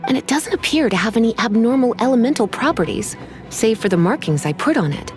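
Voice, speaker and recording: A woman voicing an elegant, languid witch librarian in a video game, coy and formal with quiet menace. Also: fairly high pitch, expressive, soft background music, close to an everyday voice. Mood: calm, composed. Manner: coy, unhurried, formal wording with teasing sighs.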